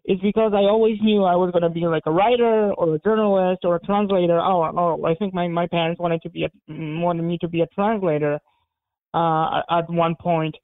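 A man from Poland whose first language is Polish, talking as an interview guest in a halting way, with many repeated words and restarts. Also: high-pitched, fairly even intonation, no room sound. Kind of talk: casual interview talk. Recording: phone-like narrow band.

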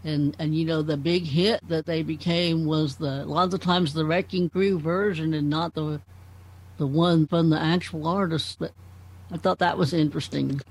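High-pitched man chatting informatively. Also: fairly even intonation, normal speed, husky, rough recording. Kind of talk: casual informative group chat.

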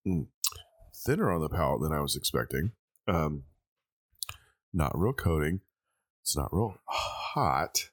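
Very deep-voiced congested man. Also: big pitch swings, a little slow, pauses often, breathy edge, no echo, studio quality. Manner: thinking aloud with many ums. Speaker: host tasting liquor blind. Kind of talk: casual tasting chat.

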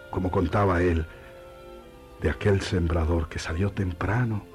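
Guy acting a devout older-sounding apostle husband, argentine, really low slow voice, earnest n tender, radio play w music. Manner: earnest, deliberate, preaching fervor.

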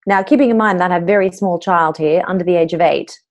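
A woman's voice: lowish voice, fast talker. A middle-aged woman with an Australian accent, a voice coach telling her own story openly and earnestly in an interview.

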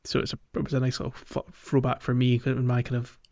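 A man's voice: mid-range voice, completely flat delivery, fast talker, dark tone, muffled recording. A man hosting a podcast, chatting casually and explanatorily through a video game playthrough. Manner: conversational, explanatory. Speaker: podcast host. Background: clear music.

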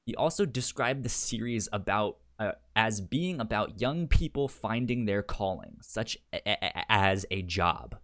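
A man's voice: on the low side, expressive, measured pace, hardly any pauses, a bit bright. A man co-hosting a book club podcast, chatting casually and often stammering on the first sound of words. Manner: stammers on word starts, repeats words. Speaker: podcast co-host.

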